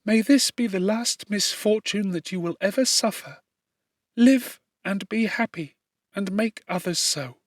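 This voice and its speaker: A man voicing a gentle, condemned young woman in an audiobook, speaking tenderly and formally with sorrowful resignation. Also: sits high, unhurried, no echo, studio quality, voice pushed up. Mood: tender farewell. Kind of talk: audiobook character dialogue.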